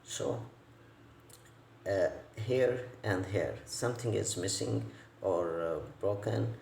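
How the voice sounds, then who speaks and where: Male repair technician, non-native English speaker, slow halting demo delivery with gravelly low end, echoey indoor recording with faint noise. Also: darkish tone. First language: not English. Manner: halting, piecemeal phrasing, repeats words. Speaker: electronics repair technician giving a tutorial. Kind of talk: unscripted hands-on repair demonstration. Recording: roomy echo, faint background noise.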